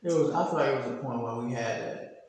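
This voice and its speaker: A man's voice: medium pitch, fast talker. A man of twenty speaking casually as an interview guest, hesitant and full of fillers. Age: 20s. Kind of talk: casual conversation. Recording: echoey hall, faint noise.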